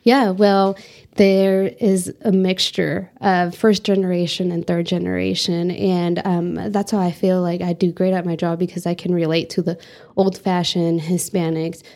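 A woman's voice: fairly low pitch, flat delivery, moderate pace, a little mellow. A young woman from the United States answering interview questions in an earnest, reflective way, with many fillers. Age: young adult. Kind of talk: oral history interview.

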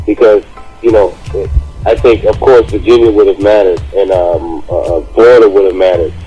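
An American man co-hosting a radio show, giving casual political commentary haltingly, often repeating himself as he searches for words. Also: on the low side, steady tone, warm tone, soft background music, poor audio.

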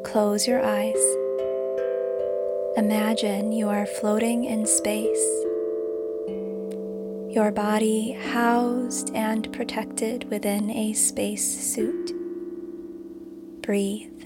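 Slow, even-toned woman guiding meditation over music. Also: medium pitch. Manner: calm, soothing, deliberate phrasing. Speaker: meditation guide and podcast host. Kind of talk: guided meditation, scripted narration. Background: music behind the voice.

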